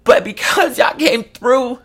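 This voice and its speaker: Casting: online host, twenties, medium-pitched voice, candid emotional monologue, heavy slang and profanity, emphatic repetition. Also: slow pace, a bit bright. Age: 20s. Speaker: online content creator and live show host.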